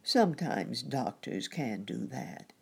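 A woman voicing a gloomy, cross old gentleman rabbit in a storybook, grumbling and fretful in old-fashioned speech. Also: rumbling low, lively intonation, slow pace, gravelly, husky, heavily acted.

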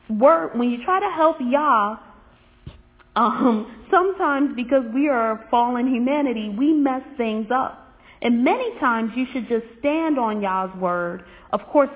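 A woman giving a teaching sermon in an earnest, measured way. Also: fairly high pitch. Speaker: religious teacher. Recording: tinny phone-like audio.

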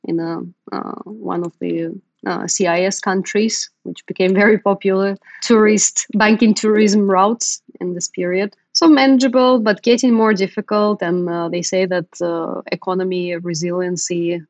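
A woman's voice: mid-range voice, fairly even intonation, moderate pace, a little mellow, no echo, low-quality recording. A woman from Russia answering in an interview, hesitant and often searching for her words.

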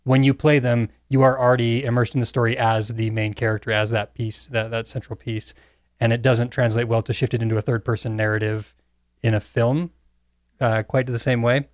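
A man with an average-pitched voice talks casually as a podcast panelist. He explains his thoughts in a measured, reflective way. The recording is dry and free of room sound. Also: level tone, dry recording. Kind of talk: casual film and game discussion.

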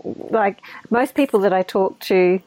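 A woman's voice: medium pitch, measured pace, mellow, slight background noise, rough recording. A woman with an Australian accent hosting a casual, chatty interview. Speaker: podcast host. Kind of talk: casual chat.

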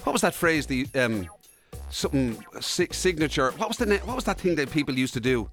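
Man with very lively intonation. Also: a little high, brisk, soft background music. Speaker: podcast co-host. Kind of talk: casual comic chat.

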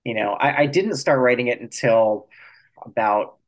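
A man's voice: medium pitch, moderate pace, rough recording. A man speaking as an author guest in an interview, explaining things reflectively and choosing his words carefully.

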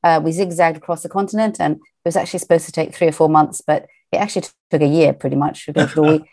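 A woman with a British accent, a guest entrepreneur telling stories in a relaxed interview, with lots of ums and easy laughter. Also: sits low, fast talker. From Portugal. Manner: chatty, laughs often, many fillers. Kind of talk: casual interview storytelling.

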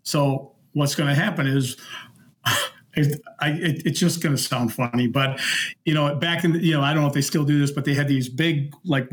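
A middle-aged man telling anecdotes as an interview guest, casually and in halting, conversational pieces. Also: on the high side. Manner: halting, conversational, stepping through stories in small pieces. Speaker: interview guest, former concert security worker.

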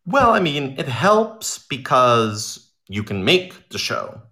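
A man talking in an informal interview as an audio drama creator, telling stories in an animated way and voicing imagined quotes. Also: medium pitch, slow pace. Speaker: audio drama writer and director being interviewed.

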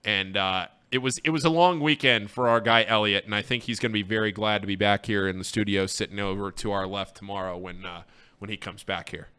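A man's voice: fairly low pitch, fairly even intonation, a little fast, sharp tone, smooth. A man hosting a radio show in a casual, bantering sports-talk style.

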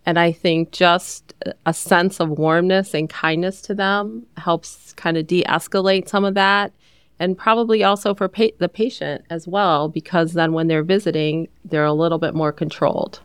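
A woman who works as a hospital nurse, speaking earnestly and gently as a podcast guest. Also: on the low side, fairly even intonation, moderate pace, smooth, no room sound, studio quality. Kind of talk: casual interview chat.

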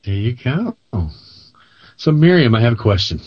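A man's voice: mid-range voice, lively intonation, normal speed, dry recording, dull audio. Chatty man hosting a radio show. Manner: chatty, laughs often, rambling restarts. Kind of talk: casual radio chat.

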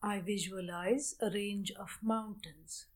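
Woman doing guided meditation, really slow, short phrases w long pauses, middle pitch. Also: fairly even intonation. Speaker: meditation teacher.

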